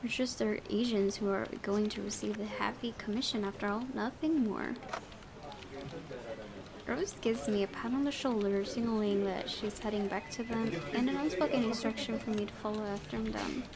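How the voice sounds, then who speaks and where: A woman's voice: fairly high pitch, fairly even intonation, normal speed, creaky. A woman hosting a gaming video, reading visual novel narration aloud and occasionally stumbling and correcting herself.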